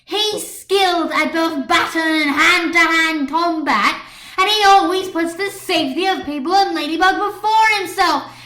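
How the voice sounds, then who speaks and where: A fiery, stubborn schoolgirl, acted out by a woman reader argues with forceful indignation. Her voice is very high and sharp. It is a fan fiction story reading in an echoey room. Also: measured pace, exaggerated voice. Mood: furious ranting. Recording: echoey room on computer microphone.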